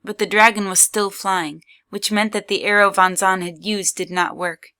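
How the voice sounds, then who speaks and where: Bright-voiced woman narrating a story. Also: mid-range voice, steady tone, moderate pace, clear, resonant tone.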